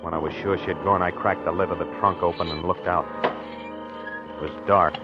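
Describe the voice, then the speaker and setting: An actor playing a haunted, obsessive husband in a halting, confessional 1940s radio drama style. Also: male voice, deep voice, steady tone, over loud music, close to an everyday voice. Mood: brooding, haunted. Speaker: actor narrating in character. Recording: muffled old 1940s radio recording.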